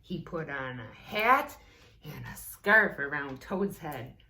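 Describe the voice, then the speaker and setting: A woman narrates a children's story at a very slow pace with highly varied intonation. She slips into casual asides and questions to her listeners, and the room echoes around her voice. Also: animated, sharp tone. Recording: roomy echo.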